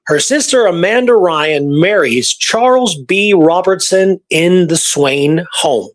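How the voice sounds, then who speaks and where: A man with a voice a little higher than most hosts a history podcast. He moves between reading old records and speculating aloud about them. His delivery is conversational, and he often checks that his listener is following. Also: slow. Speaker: podcast host presenting historical research.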